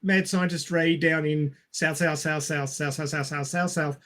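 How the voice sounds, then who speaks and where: A man with a notably high voice and an Australian accent. He chats casually as a podcast co-host, joking and poking fun at himself. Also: steady tone, normal speed, a bit bright. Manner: jokey, self-deprecating. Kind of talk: casual chat.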